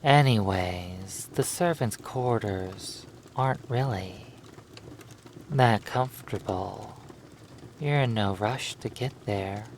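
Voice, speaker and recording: Man, low voice, extremely slow pace, soothing hypnosis narration, long pauses, faint background noise. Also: darkish tone. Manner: slow, lulling delivery with long pauses between phrases.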